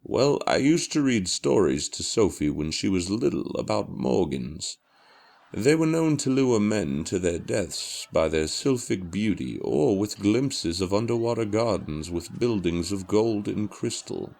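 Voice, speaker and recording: A man playing an older widowed father in an audio horror drama, tipsy, gravelly, dismissive yet affectionate. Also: fairly low pitch, animated, measured pace, a little mellow, heavily acted. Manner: dulled with liquor, dismissive, soothing.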